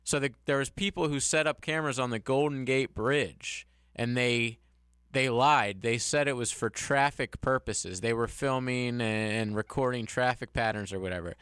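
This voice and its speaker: A man co-hosting a casual radio talk show, candid and quick to laugh. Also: medium pitch, fairly bright tone, clean tone, no room sound, studio quality. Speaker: radio co-host. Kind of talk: casual sports radio chat. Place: radio studio. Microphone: studio microphone.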